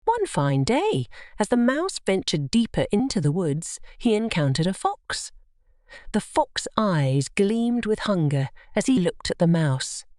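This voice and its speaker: A woman's voice: mid-range voice, very animated, measured pace, a little mellow, dry recording, clean studio sound. A woman narrating scripted bedtime stories for children as a storyteller.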